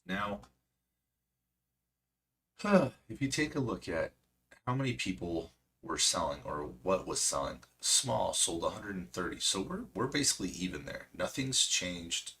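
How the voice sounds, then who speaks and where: A man hosting a stock trading stream, hoarse and losing his voice, chatty and self-mocking with a cracking voice. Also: deep voice, big pitch swings, unhurried, pushed and bright. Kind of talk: casual market commentary.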